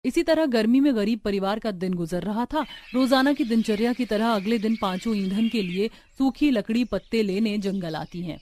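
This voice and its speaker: A woman's voice: on the high side, moderate pace, few pauses, dark tone, soft background music. A woman reading scripted narration for a cartoon village family story.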